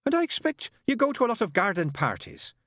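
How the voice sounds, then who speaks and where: A male audiobook reader voicing a flustered, chatty woman who is a nun and nurse, talking in a gushing, hurried way. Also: high voice, big pitch swings, a bit bright, dry recording, clean studio sound, pitched above the performer's own voice, full character voice. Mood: nervous chatter.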